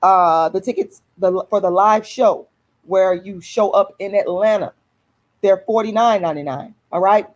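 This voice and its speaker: A woman's voice: medium pitch, moderate pace, highs cut off. A woman, casually announcing an event to viewers, repeating herself and addressing them as y'all. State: hoarse. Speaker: activist host of her own channel.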